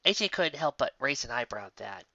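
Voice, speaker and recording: Story narrator with casual asides: bright, forward voice, animated reading, dry and slightly dull home recording. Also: medium pitch, brisk, dry recording. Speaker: narrator reading fan fiction aloud.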